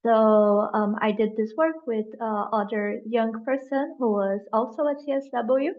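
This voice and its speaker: A woman's voice: on the high side, fairly even intonation. Young woman on muffled audio. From Brazil. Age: 20s. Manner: earnest, a bit halting. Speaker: panelist, student delegate. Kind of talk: casual panel introduction. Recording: dull, lo-fi call audio.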